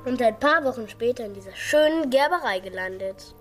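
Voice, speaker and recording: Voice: medium pitch, animated, brisk, fairly bright tone, lightly acted. An actor in a children's radio play voicing a proud, sulky young orphan boy, blunt and cheeky. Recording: studio radio play with faint music.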